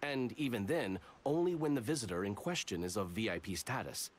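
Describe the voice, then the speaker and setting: An actor playing a dutiful, rule-bound soldier in a video game, speaking formally and carefully. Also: male voice, lowish voice, lively intonation, a little fast, a little hiss or hum, close to an everyday voice. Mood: officious. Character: dutiful male soldier, formal stickler for written rules. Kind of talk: video game character acting.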